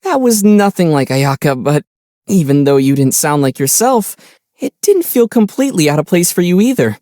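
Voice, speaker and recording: A man voicing a calm young traveler hero in a video game, measured and gently teasing. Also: a little high, dry recording, studio quality. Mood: amused, teasing. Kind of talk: video game character dialogue.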